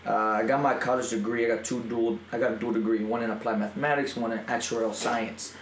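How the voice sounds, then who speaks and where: Animated young man, roomy sound. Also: medium pitch, fast, slight background noise. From Mexico. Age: 20s. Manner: animated, rambling, self-interrupting. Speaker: podcast guest. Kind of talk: casual storytelling chat. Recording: roomy echo.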